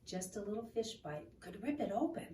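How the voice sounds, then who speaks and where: A woman reading a children's book aloud in an expressive, deliberate storytelling manner, in a roomy, noisy recording made at a distance from the microphone. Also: mid-range voice. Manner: expressive, deliberate, asking the listener questions.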